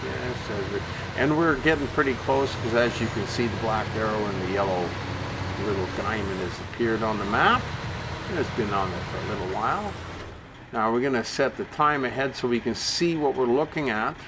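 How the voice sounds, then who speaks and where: Guy doing laid-out casual gaming commentary, mid pitch, explains stuff and repeats himself, engine noise behind him. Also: moderate pace. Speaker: gaming channel host.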